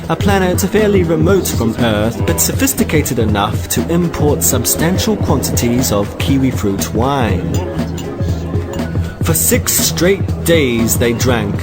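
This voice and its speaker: A man playing a wry bar-owner who narrates a comic science fiction tale in a deadpan storybook manner. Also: medium pitch, lightly acted.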